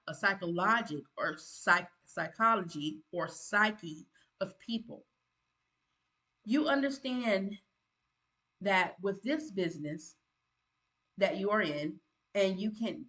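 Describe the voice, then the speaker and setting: A woman's voice: medium pitch, sharp tone. A woman, a content creator giving earnest motivational advice in a preachy, emphatic way, often repeating herself and restarting. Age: 50s.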